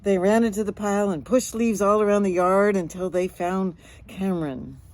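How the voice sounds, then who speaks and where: A woman's voice: medium pitch, fairly even intonation, normal speed, darkish tone. A woman reading a children's picture book aloud with chatty asides, stretching words and sound effects expressively.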